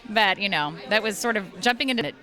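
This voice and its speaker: A woman voice actress and singer chatting in a convention interview, bubbly and quick to laugh. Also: mid-range voice, lively intonation, over music, crowd noise.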